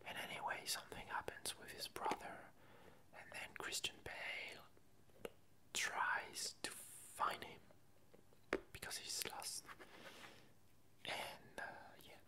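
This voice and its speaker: A woman whispering a casual ASMR chat in a breathy, halting way with long pauses. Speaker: ASMR video creator.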